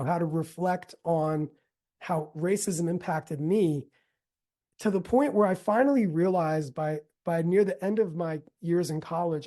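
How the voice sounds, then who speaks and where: A middle-aged American man giving a reflective talk as a teacher, earnest and deliberate, repeating phrases for emphasis. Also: fairly high pitch, warm tone. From United States. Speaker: yoga teacher and speaker. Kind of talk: reflective spoken talk with personal storytelling.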